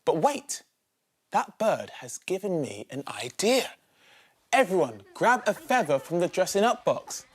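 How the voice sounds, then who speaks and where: A man's voice: sits high, very animated, unhurried, breathy edge, exaggerated voice. A man voicing a cheerful, encouraging woman dance teacher in a children's storybook, calling out instructions with enthusiasm. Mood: excited.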